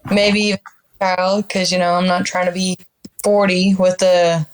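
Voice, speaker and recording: A woman's voice: on the low side, level tone, moderate pace, a little hiss or hum, low-quality recording. A woman in her twenties, a podcast guest, talking in a reflective, upbeat, casual way with many fillers. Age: 20s. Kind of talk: casual interview chat.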